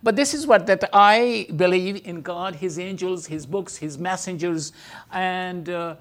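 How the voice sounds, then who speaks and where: A man giving an informal teaching talk, full of hesitations and filler sounds, speaking English with non-native phrasing. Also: unhurried. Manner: hesitant, many filler sounds, lively pitch jumps. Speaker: speaker teaching about Islam.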